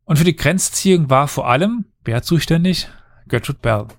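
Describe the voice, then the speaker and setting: A man hosting a casual history podcast, telling the story at length with lively explanations. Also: on the high side, normal speed, no room sound.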